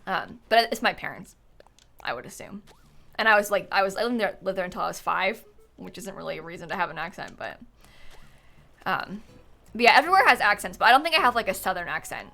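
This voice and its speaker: A woman's voice: mid-range voice, sing-song, quick pace, creaky, pauses often, sharp tone, faint music behind. A young American woman with a slightly Midwestern-leaning accent, chatting casually on a livestream and answering viewers, rambling with lots of "like" and "um" and frequent laughs. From Central Florida, US. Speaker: streamer.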